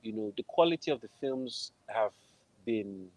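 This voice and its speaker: A man's voice: lowish voice, expressive, unhurried, a little mellow, dry recording, rough recording. A man from Nigeria with a Nigerian accent, speaking conversationally as a film composer guest on a video call. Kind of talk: conversational interview answers. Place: room at home, on a video call. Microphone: wired earphones on a video call.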